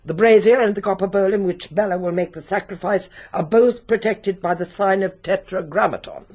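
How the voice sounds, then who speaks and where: An actress playing a solemn, commanding occult medium in a British radio drama, with stern, formal delivery. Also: female voice, medium pitch, brisk, darkish tone, lightly acted. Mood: grave, commanding.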